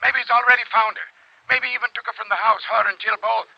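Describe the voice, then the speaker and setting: A man's voice: very high-pitched, steady tone, fast, gravelly, exaggerated voice. An actor playing a worried, agitated small-town father searching for his daughter in a 1950s radio drama, hesitant as he talks. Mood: frantic. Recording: muffled old radio recording.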